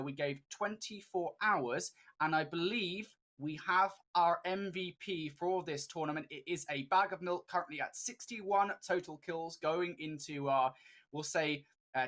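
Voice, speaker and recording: A man's voice: a little high, a bit bright. A man casting an esports tournament in a chatty, upbeat way, with many fillers. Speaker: esports caster. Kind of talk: esports commentary.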